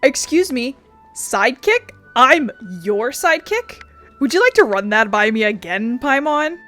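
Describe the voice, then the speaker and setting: A woman's voice: sits high, sing-song, a little slow, bright, forceful tone. A woman doing gameplay commentary and reading dialogue lines aloud. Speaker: let's player reading game dialogue. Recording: game music behind voice.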